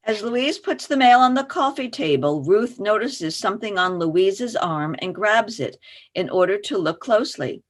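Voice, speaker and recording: A woman narrates a play, reading its stage directions in a scripted style. Her voice is mid-pitched and moves with very animated intonation. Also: moderate pace.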